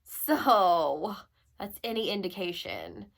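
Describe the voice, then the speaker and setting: A woman book vlogger chatting casually and informally to her viewers with rambling asides, her voice a little gravelly as she recovers from being ill. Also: medium pitch, sing-song, slow pace. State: recovering from illness, slightly gravelly voice. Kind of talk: casual chatty monologue to camera.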